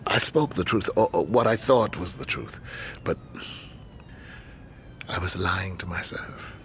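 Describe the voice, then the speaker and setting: A proud, low-voiced, forceful lecturer. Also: male voice, unhurried, gravelly, slight background noise, heavily acted. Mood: remorseful, confessional. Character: proud, embattled male university lecturer, defensive and remorseful. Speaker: actor in a radio drama. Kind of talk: naturalistic radio drama acting.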